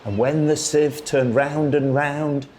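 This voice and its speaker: A man reciting a children's poem as a storyteller, in a theatrical, singsong way with drawn-out phrasing. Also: a little high, steady tone, a little mellow, a little hiss or hum. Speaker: storyteller, radio presenter. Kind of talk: expressive children's poetry recitation.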